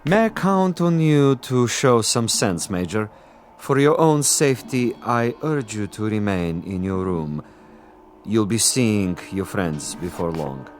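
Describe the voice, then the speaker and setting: An actor playing a suave, cruel Soviet commissar in a radio drama, theatrical and deliberate, with silky irony. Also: male voice, lowish voice, animated, background noise. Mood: silky menace. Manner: theatrical, deliberate, silkily ironic.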